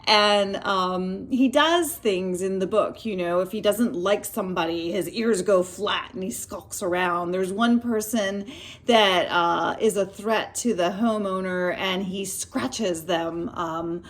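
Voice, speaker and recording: Woman, medium pitch, casual book review, chatty storytelling, expressive, thoughtful pauses.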